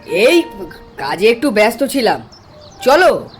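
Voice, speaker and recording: Man acting high-pitched, hospitable village host. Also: sing-song, takes it slowly, exaggerated voice. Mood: hospitable, insistent. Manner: chatty, coaxing, folksy. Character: hospitable, insistent village man hosting his junior colleague. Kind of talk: horror cartoon voice acting. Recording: music behind the voice. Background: background music.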